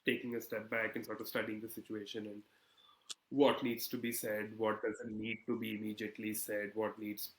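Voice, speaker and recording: A man working as an editor answers interview questions conversationally, in a measured, hesitant and thoughtful way. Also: lowish voice, monotone. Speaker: magazine politics editor being interviewed. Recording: echoey room sound.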